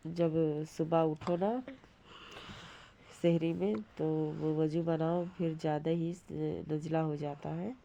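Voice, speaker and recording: A woman with a hoarse voice from a cold, giving a plain, rambling, casual voice-over for a home cooking vlog. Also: low voice, fairly even intonation, takes it slowly, mellow. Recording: dull lo-fi sound, light background noise.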